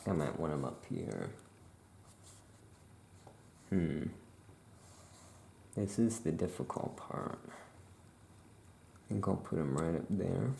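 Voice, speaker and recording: A soft-spoken ASMR performer role-playing a laid-back witch shopkeeper, musing casually and hesitantly to a customer. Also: sits low, sing-song, slow, creaky, pauses often, a little hiss or hum.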